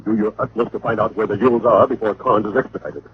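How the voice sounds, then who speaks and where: A man's voice: fairly low pitch, fairly even intonation, fast, natural voice. An actor playing a formal, businesslike male insurance executive in an old radio drama, urgent and matter-of-fact. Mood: urgent, insistent. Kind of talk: 1940s-50s radio drama acting. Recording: noisy, phone-like old radio recording.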